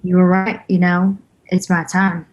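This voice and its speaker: A woman's voice: fairly low pitch, level tone, unhurried, close to an everyday voice. A woman at a table read playing a guarded but determined young woman.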